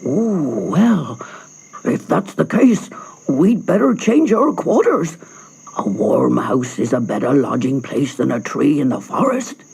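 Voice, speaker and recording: A woman's voice: sits low, sing-song, slow, gravelly, mellow, slightly rough, exaggerated voice, rough recording. A woman voicing a kindly, persuasive old male donkey in a theatrical storybook reading. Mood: considering. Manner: coaxing, friendly, theatrical. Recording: music behind the voice.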